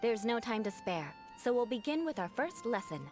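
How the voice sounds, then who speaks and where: A woman voicing a cool, aloof young aristocratic knight in a game who talks deadpan about vengeance. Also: fairly high pitch, moderate pace, over loud music. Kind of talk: game voice acting.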